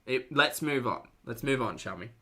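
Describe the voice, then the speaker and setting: An Australian woman hosting a casual reaction stream. Her voice sits low for a woman but moves around a lot, with a bright, forward edge. She comments in wry, sarcastic asides. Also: expressive, normal speed, slightly rough.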